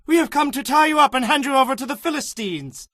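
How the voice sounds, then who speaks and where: Very high-voiced hostile mob spokesman. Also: quick pace, a bit bright, no echo, studio quality. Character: angry Philistine and Judean men, hostile mob spokesmen.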